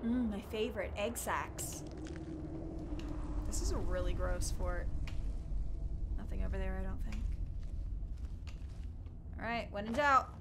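A young woman playing a video game with a headset, jittery and excitable, swinging from loud yelps to hushed muttering. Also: mid-range voice, unhurried, long pauses, noisy background. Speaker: gamer. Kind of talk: let's play commentary. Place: small room indoors. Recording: game music loud behind voice. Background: game music.

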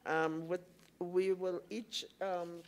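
A middle-aged woman chairing a formal hearing, speaking hesitantly with frequent pauses into a table microphone. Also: fairly low pitch, little pitch movement, darkish tone. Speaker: commissioner chairing a public hearing. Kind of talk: formal procedural remarks, halting. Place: conference hall hearing room. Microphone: gooseneck table microphone.

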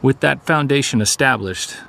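A man playing an earnest Sasquatch hunter, narrating his investigation in a measured, explanatory documentary style. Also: mid-range voice, vocal fry, bright, forceful tone. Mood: earnest, intrigued. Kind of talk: audio drama documentary narration.

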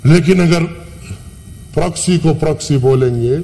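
A man giving an impassioned, rhetorical speech as a senator in a large parliament chamber, pausing at length between phrases. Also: fairly high pitch, mellow. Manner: impassioned, rhetorical, with long pauses. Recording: parliament broadcast with hall reverb.

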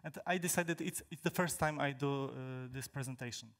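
A young man from Poland, a native Polish speaker, giving a technical talk on stage in a large hall, halting with many fillers and restarts. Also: a little high, a bit bright. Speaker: conference speaker.

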